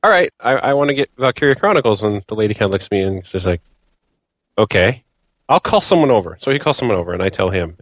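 A man's voice: medium pitch, no echo. A man on a podcast panel chatting casually about games in a wry, grumbling way, on slightly dull audio. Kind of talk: casual gaming chat.

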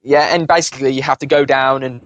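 A British man chatting casually about video games as a podcast panelist, with a hesitant, halting delivery. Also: medium pitch, fairly even intonation, fairly bright tone, no room sound, poor audio. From United Kingdom. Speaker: podcast panelist and game reviewer.

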